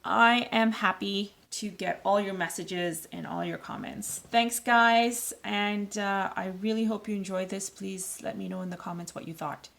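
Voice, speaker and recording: A woman with a raspy voice today, giving loose, rambling, self-correcting instructions in a casual watercolour tutorial. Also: medium pitch, steady tone, unhurried, hardly any pauses, fairly bright tone. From Canada. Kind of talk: casual painting tutorial voice-over.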